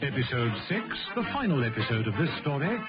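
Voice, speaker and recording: Guy as radio drama narrator, wry and dramatic, very expressive, slightly muffled old recording. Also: medium pitch, fast talker, over loud music, lightly acted. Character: male arch storyteller, wryly addressing the hero. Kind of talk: scripted radio serial narration.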